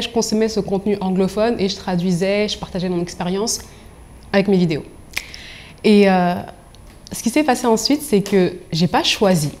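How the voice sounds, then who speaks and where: A young woman from Angola and Congo, speaking as a professional coach in an interview, articulate and expressive as she tells her own story. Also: medium pitch. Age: young adult.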